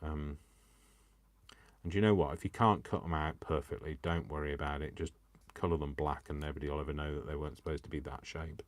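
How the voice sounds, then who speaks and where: A man from Coventry with a Coventry English accent softened by years in Yorkshire, chatting and teasing himself as he demonstrates crafts live. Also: extremely low pitch, fairly even intonation, a little fast, dry recording. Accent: Coventry English, worn by years in Yorkshire. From England. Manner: chatty and self-mocking, talks to himself and the viewers. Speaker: crafting livestreamer.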